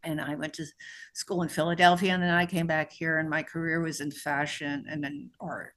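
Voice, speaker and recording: A woman's voice: low-pitched, little pitch movement, normal speed, a bit bright. A middle-aged woman author raised in New York, answering interview questions in a conversational way with frequent restarts. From born in New Mexico, raised in New York.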